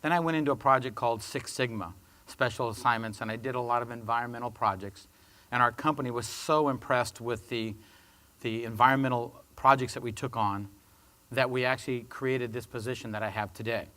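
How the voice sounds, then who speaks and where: A man introducing himself as a panelist, a company sustainability director, giving a steady, businesslike presentation. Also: mid-range voice, fairly quick.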